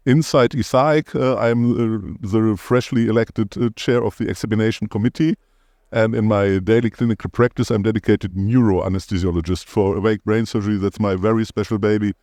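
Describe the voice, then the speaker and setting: A man with a German accent, a guest anesthesiologist explaining things in a measured way. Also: fairly low pitch, fairly even intonation, darkish tone, dry recording, clean studio sound. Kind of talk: conference podcast interview.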